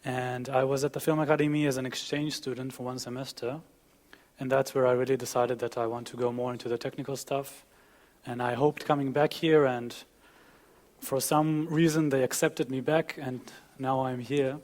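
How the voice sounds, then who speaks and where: A man's voice: mid-range voice, flat delivery, normal speed, a little mellow. A young man from Israel with an Israeli accent, giving a conference presentation as a student. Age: young adult. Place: dim conference auditorium with audience, on a panel. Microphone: headset.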